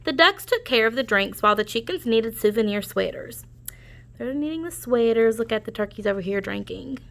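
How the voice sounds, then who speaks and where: A woman reading a children's story aloud, pausing to explain things to young listeners. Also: on the high side, animated, vocal fry. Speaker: storybook reader. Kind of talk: children's read-aloud narration.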